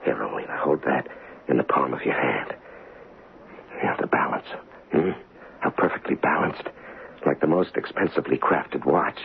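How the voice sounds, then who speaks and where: An actor playing a pompous, excitable middle-aged husband in an old-time radio drama, gravelly and husky, blustering and repeating himself emphatically. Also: male voice, extremely low pitch, moderate pace, long pauses, heavily acted. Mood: fervent, proud. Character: pompous indignant gun-loving husband, fussy and excitable. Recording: muffled, phone-like old radio recording.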